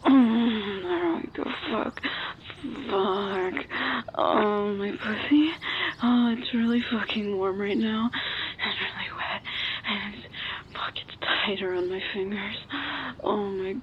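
A woman playing an amorous, coaxing adult lover in an intimate audio roleplay, breathy and often whispering. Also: mid-range voice, steady tone, natural voice. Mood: coaxing, aroused. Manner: breathy, often whispering, sighing, drawn-out delivery. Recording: muffled, very noisy recording.

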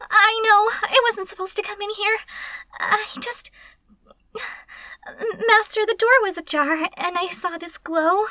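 High, breathy, timid servant girl, acted by a woman. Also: a bit bright, no room sound, heavily acted. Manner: breathy murmuring to herself, stammering, gasping. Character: timid, curious, flustered young female servant. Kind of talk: intimate first-person audio roleplay.

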